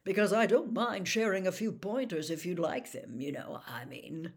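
A woman voicing a jovial middle-aged male official in an audiobook, chummy and eager, with a booming, hearty manner. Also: animated, moderate pace, close to an everyday voice. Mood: chummy, coaxing. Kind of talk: audiobook character acting.

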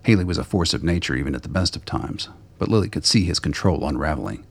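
A man with a very deep, gravelly voice reading scripted dramatic narration for a radio play. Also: lively intonation, fast.

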